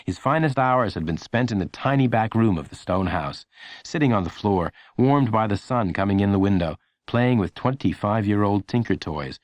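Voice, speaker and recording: A man narrating a children's audiobook in an expressive, measured storytelling manner. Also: lowish voice, no echo.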